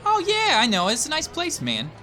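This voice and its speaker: Excitable male bartender acted by a man, friendly, sketch comedy, extremely animated pitch, sharp bright tone. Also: moderate pace, soft background music, exaggerated voice. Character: friendly, excitable male night-shift bartender, an old pal. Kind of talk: audio sketch comedy acting.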